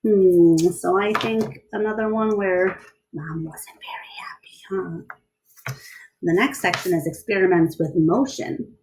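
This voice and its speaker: A woman's voice: fairly low pitch, steady tone, unhurried, a little mellow, rough recording. A woman reading a children's book aloud like a teacher, explaining as she goes and putting questions to young listeners. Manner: instructive, asks the listener questions, enunciates steps.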